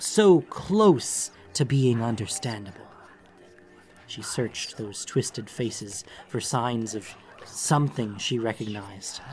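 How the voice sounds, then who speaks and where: Man narrating dramatic fantasy over music. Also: mid-range voice. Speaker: audiobook narrator. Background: background music.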